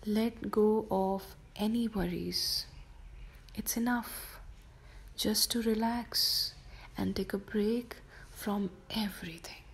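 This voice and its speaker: A woman softly whispering a guided sleep meditation for children, slow and gentle. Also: medium pitch, steady tone, vocal fry. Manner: soft whispered delivery.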